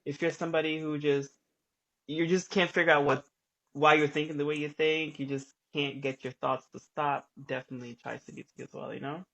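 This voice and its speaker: A man hosting a casual livestream chat, soft-spoken and hesitant, trailing off as he poses questions. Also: a little high, little pitch movement, brisk, vocal fry, dull audio. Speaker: livestream host.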